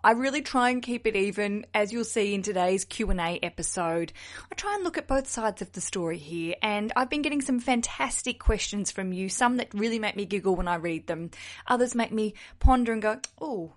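A woman's voice: medium pitch, animated, brisk. An Australian woman hosting a podcast alone, chatty, jokey and candid. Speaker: podcast host. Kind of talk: solo podcast chat.

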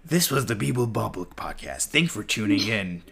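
A man's voice: on the low side, very animated, normal speed, vocal fry, fairly bright tone. Canadian guy wrapping up a podcast, rambly, jokes at his own expense. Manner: rambling, self-deprecating, laughs often. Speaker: podcast host. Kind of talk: casual podcast chat.

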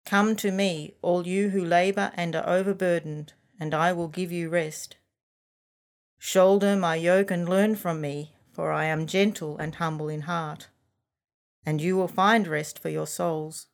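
A woman reading scripture aloud with measured, reverent phrasing. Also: fairly low pitch, steady tone. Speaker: scripture reader.

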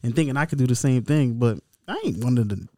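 A man in his thirties co-hosting a podcast, talking in a relaxed, reflective way and swearing freely. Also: medium pitch, fast, no room sound, clean studio sound. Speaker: podcast co-host. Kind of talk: casual chat.

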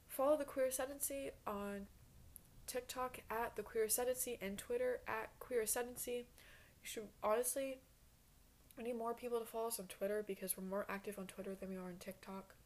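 A woman in her twenties hosting a solo podcast in a rambling, casual chat, blunt and self-deprecating, swearing freely. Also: a little high. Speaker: podcast host.

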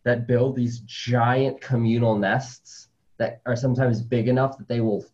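A man's voice: mid-range voice, steady tone, a little slow, a little mellow, dull audio. A man who grew up in New York City after a move from Colombia, a spider scientist chatting casually as a guest and filling his talk with "like". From Colombia, raised in New York City. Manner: chatty, with many "like" fillers, laughs easily. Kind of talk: casual conversational interview.

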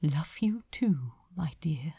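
A gentle male angel from a storybook, acted out by a woman. He speaks softly and slowly, with wistful affection, and often slips into a hushed whisper. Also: deep voice, expressive, creaky, slightly rough, no room sound, studio quality, pitched below the performer's own voice, full character voice. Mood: tender, wistful. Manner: soft, often whispered, hesitant.

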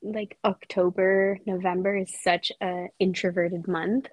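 A woman with a cold speaks slowly on a casual podcast, her voice husky and gravelly. She talks softly and lets her thoughts trail off. The sound is dry and close. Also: lowish voice, little pitch movement, dry recording. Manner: slow, soft-spoken, trailing off. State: has a cold. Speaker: podcast guest.

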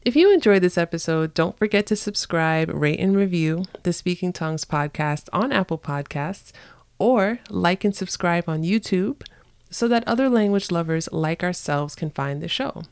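A woman speaks as a podcast host in a conversational interview style. Her voice sits on the low side. The sound is dry, with very little room in it. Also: lively intonation, normal speed, a little mellow, clear, resonant tone, no room sound.

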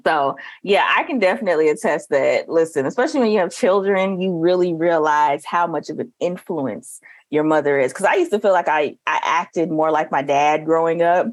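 Brief: woman co-host, casual storyteller with laughter, lower pitch, dry close mic. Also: dry recording. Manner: warm-humored, laughing, storytelling. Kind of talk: casual chat.

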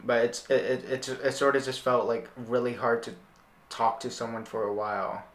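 Young man, mid-range voice, podcast host, casual, halting delivery, roomy echoing recording. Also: mid-range voice, steady tone. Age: young adult. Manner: halting, repeats words while thinking.

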